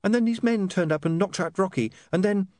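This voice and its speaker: A man's voice: high-pitched, brisk, darkish tone, no echo, clean studio sound, voice pushed up, close to an everyday voice. A man voicing a nervous, well-bred young woman who hesitates and stumbles over her words. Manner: nervous, hesitant, full of ums.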